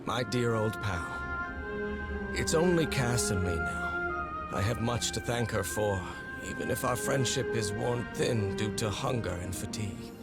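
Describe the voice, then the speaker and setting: An actor playing a devoted, desperate 1930s expedition husband, narrating his letter in a video game in an earnest, intimate, measured way. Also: on the low side, animated, loud music behind the voice. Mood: weary, grateful.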